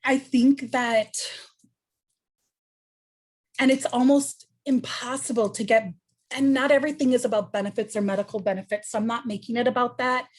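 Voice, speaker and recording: A woman's voice: a little high, steady tone, normal speed. A woman on a panel, answering a question in a thoughtful, earnest way with frequent ums and restarts.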